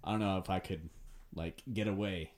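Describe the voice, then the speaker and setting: A man's voice: deep voice, steady tone, normal speed, darkish tone. A young man hosting a podcast, asking questions in a hesitant, stumbling way with many fillers. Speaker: podcast host. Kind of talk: casual podcast chat.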